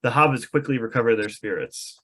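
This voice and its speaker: A man's voice: mid-range voice, a little fast, sharp tone. A man reading out the stage directions of a fantasy script as narrator at a table read.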